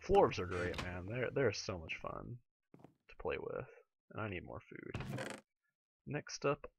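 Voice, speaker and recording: Raspy, slightly hoarse man gaming. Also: low voice, unhurried, noisy background. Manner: chatty, talks to himself while playing, excitable. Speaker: let's play gamer. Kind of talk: casual gameplay commentary.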